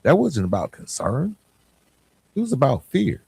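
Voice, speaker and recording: An American man hosting a podcast, giving a reflective motivational monologue in an earnest, emphatic way with deliberate pauses. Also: mid-range voice, wildly swooping pitch, vocal fry, dark tone, husky, no room sound. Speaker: podcast host.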